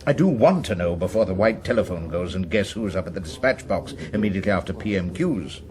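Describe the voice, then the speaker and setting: An actor playing an anxious, ambitious government minister in a radio drama, with a British accent and a fretful, confiding manner. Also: male voice, sits low, expressive, fast, darkish tone. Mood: confiding, worried. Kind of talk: naturalistic radio drama acting.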